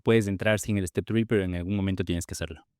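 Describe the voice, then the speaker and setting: A man hosting an interview, his voice low and smooth. He puts questions briskly and reads out what the audience has asked. The sound is dry and close. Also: fairly even intonation, darkish tone, dry recording. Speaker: podcast host, interviewer.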